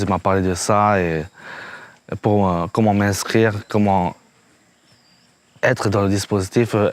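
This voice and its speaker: A man giving a personal testimonial, piecing his phrases together haltingly. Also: fairly low pitch. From Hmong family, moved to French Guiana at fifteen. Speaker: programme participant, education assistant.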